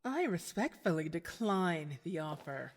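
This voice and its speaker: Woman as a low-voiced, taunting noir villainess. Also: sing-song, unhurried, a little hiss or hum. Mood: cool, dismissive. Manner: taunting, curt. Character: cool, menacing female villain, the detective's old flame. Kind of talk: noir detective audio drama acting. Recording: hall-like long reverb.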